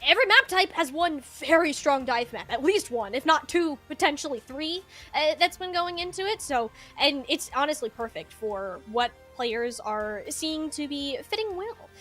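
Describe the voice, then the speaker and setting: High-voiced, chatty female esports commentator. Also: lively intonation, moderate pace, few pauses, bright, forceful tone. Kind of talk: live analyst desk commentary, conversational. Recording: music behind the voice. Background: music.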